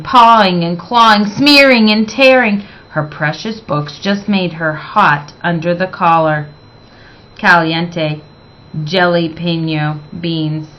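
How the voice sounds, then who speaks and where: A woman reading a picture book aloud in an expressive, deliberate way, stressing words for young listeners. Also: on the low side, low-quality recording. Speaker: children's story reader.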